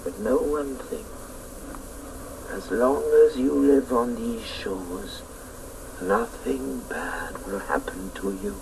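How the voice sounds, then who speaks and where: Mocking old spirit in a radio play, solemn and gravelly, drags every word out. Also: male voice, medium pitch, noisy background. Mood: grudging, warning. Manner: slow, solemn, portentous delivery. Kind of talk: radio drama acting.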